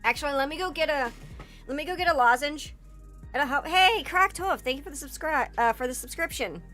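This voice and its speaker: A hoarse woman streamer in a casual livestream chat, rambling with lots of fillers and swearing. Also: high-pitched, fairly quick, pushed and bright. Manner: rambling, filler-heavy and profane, breaks into laughter. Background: faint background music.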